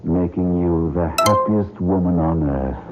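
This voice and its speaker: An actor playing a steadfast, tender husband and gentleman hero in an earnest old radio mystery style. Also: low voice, a little slow, over loud music. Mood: tender resolve. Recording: narrow-band, noisy vintage radio.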